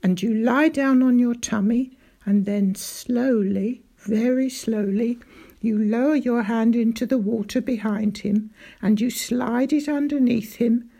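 A woman's voice: fairly high pitch, measured pace, gravelly, husky, full character voice. Woman doing a fussy little old country doctor. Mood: genial. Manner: excitable, grave then outraged. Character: small elderly country doctor, fussy and indignant.

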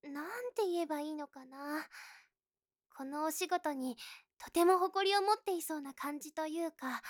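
Very high, shy, flustered youngster. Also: female voice, no echo, clean studio sound. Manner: bashful, hesitant, cute. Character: shy, flustered, earnest, polite young customer. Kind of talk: Japanese situation voice drama acting.

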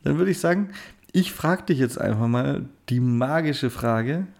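A man's voice: medium pitch, lively intonation, a little slow, gravelly, a little mellow. A German-speaking man co-hosting a casual gaming podcast, joking and teasing while telling anecdotes. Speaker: podcast co-host.

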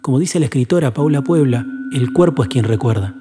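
A man narrating a documentary podcast in a measured, solemn and lyrical way. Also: mid-range voice, lively intonation, noisy background. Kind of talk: reflective scripted narration. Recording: long reverb with music bed.

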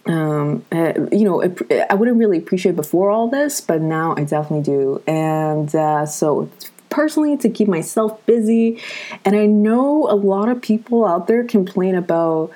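A woman's voice: lowish voice, moderate pace, warm tone. A young woman in her twenties hosting a show, chatting casually to viewers with many fillers while coming down with a cold. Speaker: host.

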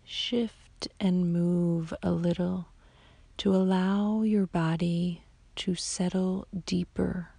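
A woman guiding a sleep meditation as a yoga nidra teacher, in a soft, whispery, soothing voice with long, unhurried pauses. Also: fairly low pitch, flat delivery, gravelly, dry recording. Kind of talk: slow guided sleep meditation.

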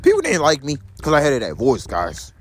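A young man with a deep voice vlogging to his phone camera while walking outdoors in the rain. He repeats his phrases over and over and keeps calling his viewers "guys". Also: wildly swooping pitch, normal speed, faint music behind. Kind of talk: rambling phone vlog on a walk.

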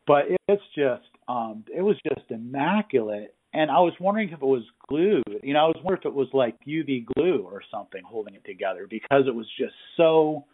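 A man hosting a casual interview over the phone, talking informally and effusively in halting phrases. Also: medium pitch, expressive. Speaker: interviewer, hands-on tradesman. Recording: husky-sounding, thin phone-line audio.

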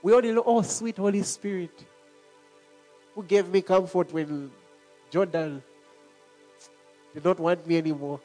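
A middle-aged man preaching a sermon. He talks in an animated way and laughs often between points. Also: high voice, a little slow, pauses often, faint music behind. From Zambia.

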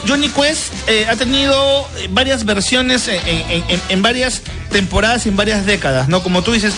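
A man's voice: high-pitched, moderate pace. A man hosting a casual radio chat, talkative, often repeating himself and tagging his phrases with little questions. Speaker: radio show host. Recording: music playing behind the voice. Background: music bed.

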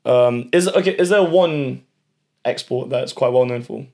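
A man's voice: mid-range voice, a little slow, vocal fry, darkish tone. A young man hosting a casual podcast, asking questions and joking in a mock-serious way. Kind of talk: casual banter.

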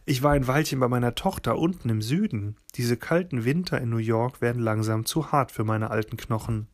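A man's voice: medium pitch, brisk, close to an everyday voice. A man reading a story aloud, voicing a cheerful elderly male street accordion player who talks in a friendly, folksy way.

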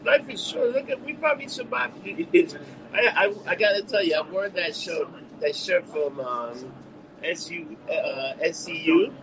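A man's voice: on the high side, unhurried, crowd noise, rough recording. A man from New York with a New York accent, chatting casually and brashly as a podcast host, swearing and rambling through stories. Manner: brash, profane, rambling storytelling. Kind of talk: casual bar-talk podcast chat.

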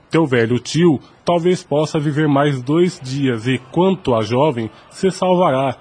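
Husky, solemn old priest. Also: male voice, mid-range voice, darkish tone. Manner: solemn, paternal, measured. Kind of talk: radio drama acting.

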